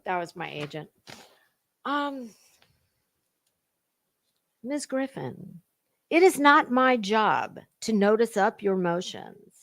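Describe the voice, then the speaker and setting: A woman's voice: medium pitch, big pitch swings, takes it slowly, creaky, long pauses, a bit bright. A woman hosting a gossip stream, giving a dramatic reading with sarcastic, mocking commentary in a theatrical, sassy way. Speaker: host of a gossip commentary livestream.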